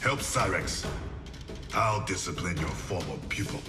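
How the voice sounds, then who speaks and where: A man's voice: low voice, animated, measured pace. An actor playing a hardened, vengeful male ninja warrior in a video game, terse and resolute. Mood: hard, defiant. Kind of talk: cinematic video game acting. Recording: echoing hall under loud dramatic music.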